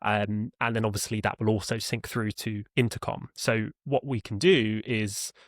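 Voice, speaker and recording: A man's voice: fairly low pitch, steady tone, moderate pace, dry recording, clean studio sound. A man speaking as an interview guest, walking through how his support team works in a hesitant, explanatory, conversational way. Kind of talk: conversational product walkthrough in an interview.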